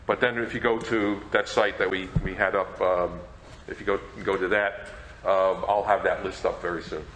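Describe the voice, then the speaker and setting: A man's voice: low voice, flat delivery, normal speed, a bit bright. A man explaining informally as a presenter during a question-and-answer session at a talk. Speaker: panel presenter, radio program collector. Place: echoey convention meeting room. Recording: roomy echo with faint noise.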